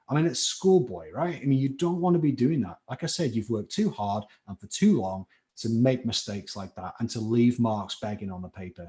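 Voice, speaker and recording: A man with a touch of Scouse in his accent teaches chemistry in a live online class. He talks in a chatty, encouraging way, greets viewers by name, and his voice sits at an ordinary middle pitch for a man. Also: warm tone. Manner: chatty, encouraging, reads out chat names. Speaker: chemistry tutor.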